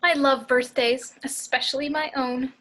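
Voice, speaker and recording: Woman playing a bright, high-voiced, smothering wife. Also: fairly even intonation, a little slow. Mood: cheery. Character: chirpy, smothering wife eager for a happy family. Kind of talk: amateur table read of a film script.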